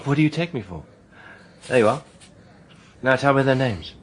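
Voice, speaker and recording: An actor playing a polite, self-possessed gentleman in a radio drama, measured and well-spoken. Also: mid-range voice, expressive, close to an everyday voice. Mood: polite, probing. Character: self-possessed gentleman posing as a visiting businessman.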